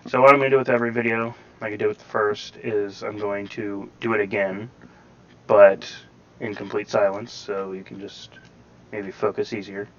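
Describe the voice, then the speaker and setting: Casual male gaming tutorial host, lowish voice, dull recording with a robotic tinge. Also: steady tone, normal speed, a little hiss or hum. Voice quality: robotic, digitally distorted sound. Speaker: gameplay guide host. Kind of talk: casual game tutorial commentary. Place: voice-over over game footage. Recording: muffled, robotic-sounding home recording.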